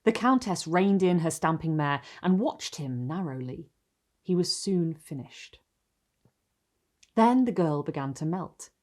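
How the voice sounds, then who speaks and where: A woman hosting and reading literary stories aloud in an expressive, theatrical style with deliberate phrasing. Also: on the low side. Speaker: host and reader of a literary video series. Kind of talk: dramatic storytelling, scripted narration.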